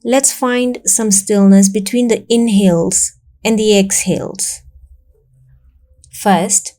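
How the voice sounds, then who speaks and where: Female meditation guide. Calm, soothing narration, slow delivery, warm dark tone, generous pauses. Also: mid-range voice. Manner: calm, soothing, measured instruction with long pauses.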